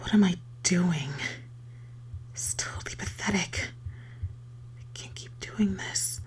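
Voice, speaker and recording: A woman playing an unstable, lovesick young circus girl turned kidnapper in an intimate ASMR roleplay, speaking haltingly in a breathy voice that slips into whispers. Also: on the low side. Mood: defeated, quiet. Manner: intimate, halting, stammering, swinging from sweet to desperate. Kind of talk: scripted ASMR roleplay monologue.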